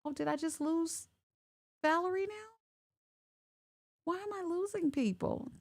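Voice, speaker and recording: A woman hosting a casual online interview over a video call, chatty and animated as she works through connection glitches. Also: extremely high pitch, measured pace. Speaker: host.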